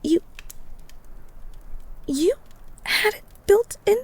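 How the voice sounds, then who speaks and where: A woman playing a shy, bookish young woman in a romantic audio roleplay, stammering and halting in a soft, breathy voice. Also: very high voice, expressive, full character voice. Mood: flustered, defensive. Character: shy, flustered young bookish woman living in a beast's castle.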